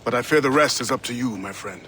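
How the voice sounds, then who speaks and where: A man's voice: mid-range voice, fast, a bit bright, slight background noise, natural voice. A man playing a dignified, earnest seafaring Assassin captain in a video game, with stately, formal delivery. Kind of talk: video game dialogue acting.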